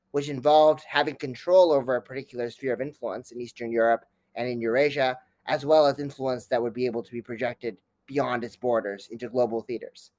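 A man's voice: mid-range voice, darkish tone, no echo. A man speaking as an academic guest in an interview, giving fluent, detailed explanations.

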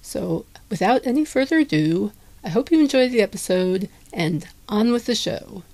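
A woman's voice: mid-range voice, animated, moderate pace, a little mellow, a little hiss or hum. A woman giving an informal introduction as a podcast host.

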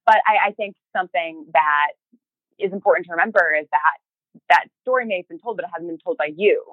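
Chatty woman with a lowish voice. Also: no room sound, narrow, tinny audio. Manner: chatty, halting, acts out remembered thoughts and emails in quoted speech. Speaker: podcast guest, fiction podcast creator and writer. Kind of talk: casual interview conversation.

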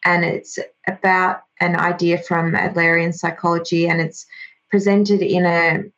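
Australian woman guest, measured explainer, low and husky-edged, slightly muffled recording. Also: little pitch movement, a bit bright. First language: Greek. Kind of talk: podcast interview. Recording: slightly dull lo-fi audio.

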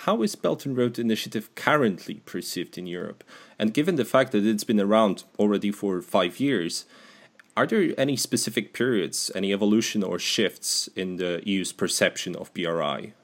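Man, podcast host, formal courteous questions, low voice. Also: animated, normal speed.

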